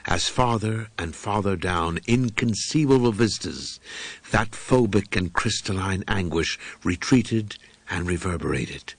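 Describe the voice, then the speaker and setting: Deep, slow-reading male horror narrator. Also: slightly rough, no room sound. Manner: solemn, deliberate, brooding delivery with dramatic pauses. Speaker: audiobook narrator. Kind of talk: dramatic first-person horror audiobook narration.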